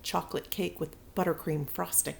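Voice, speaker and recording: A woman reading a children's storybook aloud as a teacher would, expressively phrased with dramatic pauses. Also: fairly low pitch, fairly even intonation, creaky.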